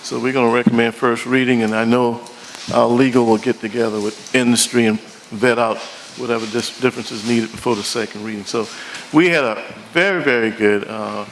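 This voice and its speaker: A man delivers a committee report at a city council meeting in a halting, improvised way. His voice sits at a middling pitch. There is clear room noise behind him. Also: steady tone, poor audio.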